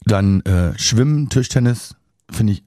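Low-voiced man chatting casually. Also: animated, unhurried, warm tone, no echo, clean studio sound. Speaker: presenter. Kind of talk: casual chat.

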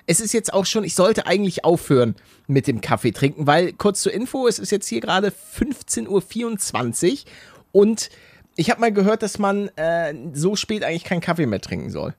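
A man's voice: high voice, big pitch swings, normal speed, vocal fry, no room sound. A man in his forties co-hosting a podcast, joking casually with many restarts and laughs. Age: 40s. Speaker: podcast co-host.

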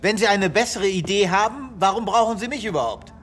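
An actor playing a melodramatic, self-pitying animal doctor in an audio drama, speaking theatrically. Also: male voice, high voice, a little fast, fairly bright tone. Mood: offended. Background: music.

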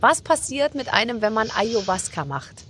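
The woman hosting a laid-back chat podcast, higher-pitched, feeling her way through long questions over slight ambient noise. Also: very animated, moderate pace, fairly bright tone. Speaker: interviewer, host. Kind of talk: casual conversational interview.

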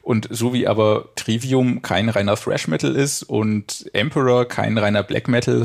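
A man's voice: fairly low pitch, gravelly. A man giving a conversational album review as co-host of a music podcast.

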